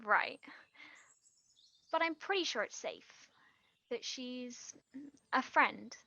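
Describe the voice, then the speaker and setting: An actress plays a gentle, earnest younger woman in an intimate stage drama. Her voice sits high and she speaks haltingly, letting thoughts trail away. The recording is dry and slightly dull. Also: lively intonation, gravelly, no room sound, heavily acted. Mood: shy, hopeful. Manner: halting, trailing off mid-sentence. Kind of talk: stage play acting, quiet two-hander.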